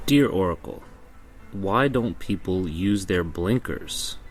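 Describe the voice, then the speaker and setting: A man with a low voice reads scripted spoken-word material. His delivery is blunt and deadpan, with light music playing behind him. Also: slow pace.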